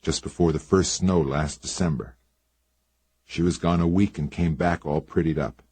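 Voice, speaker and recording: Man doing a rough grieving caretaker. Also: very deep voice, fairly even intonation, moderate pace, warm tone, no echo. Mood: grim, quiet. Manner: blunt, rough country speech. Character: distraught rough male cabin caretaker.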